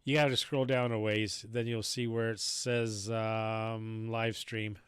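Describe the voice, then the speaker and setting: A man's voice: on the low side, little pitch movement, slow. Easygoing man over heavy hiss. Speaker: ham radio station host. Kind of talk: casual on-air chat. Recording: heavy hiss from radio gear.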